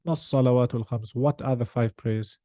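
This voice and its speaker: A male language teacher talks very quickly in a relaxed, dark-toned voice. He explains and corrects phrase by phrase, translating and putting questions to a student. The sound is dry and close. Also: mid-range voice, dry recording. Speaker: Arabic teacher.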